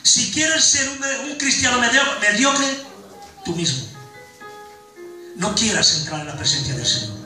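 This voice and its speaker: A man's voice: very high-pitched, expressive, a little slow, long pauses, bright, forceful tone. A middle-aged man in Spain, preaching a sermon to a congregation with fervor, homely diminutives and humor. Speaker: pastor. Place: church hall with a congregation. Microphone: handheld mic, filmed from the pews. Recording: echoey hall from far off with music.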